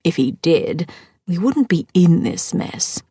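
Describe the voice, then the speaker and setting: A woman voicing a gracious older mother-in-law who speaks formally and softly, at times near a whisper. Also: fairly low pitch, sing-song, takes it slowly, husky, no room sound, exaggerated voice. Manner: formal and soft-spoken.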